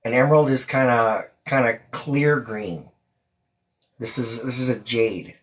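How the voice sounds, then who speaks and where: A woman's voice: very deep voice, a little slow. A woman hosting a livestream, talking in a casual, informal chat style. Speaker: livestream host and parent.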